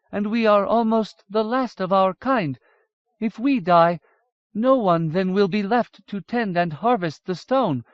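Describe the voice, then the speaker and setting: A man voicing a solemn wife of a small cave-dwelling folk, speaking slowly and gravely. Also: high-pitched, no room sound, pitched above the performer's own voice. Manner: slow and solemn. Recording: dull dry audiobook recording.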